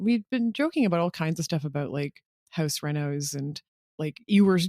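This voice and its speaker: A woman's voice: low-pitched, a little mellow, no echo, clean studio sound. Informal woman, closely recorded. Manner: informal, self-deprecating, repeats phrases. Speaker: podcast creator, interview guest. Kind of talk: casual interview chat.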